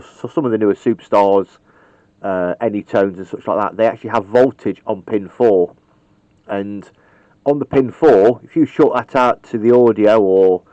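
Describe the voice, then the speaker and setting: A man's voice: fairly low pitch, a little mellow, no room sound. Rambling, chatty man on lo-fi audio. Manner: rambling, chatty, with frequent ums and mild swearing. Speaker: radio hobbyist demonstrating wiring. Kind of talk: casual how-to demonstration. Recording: dull, lo-fi home video sound.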